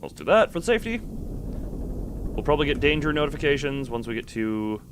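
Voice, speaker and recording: A man's voice: mid-range voice, big pitch swings, moderate pace, background noise. A man hosting a game livestream, chatting casually and rambling as he thinks aloud while playing. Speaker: game streamer, host. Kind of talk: casual livestream chat with gameplay commentary.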